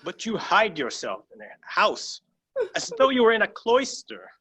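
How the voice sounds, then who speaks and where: A man's voice: high voice, wildly swooping pitch, moderate pace, slightly rough, heavily acted. A man reading the part of an old male servant, coaxing and reproachful, in a staged play reading over a video call. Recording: loud piano music under the voice.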